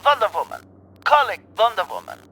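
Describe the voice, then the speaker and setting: A woman's voice: fairly high pitch, big pitch swings, fairly quick, noisy background. A woman playing a haughty, short-tempered villainess queen in a comedy radio play. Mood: menacing, commanding. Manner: imperious, exasperated, theatrical.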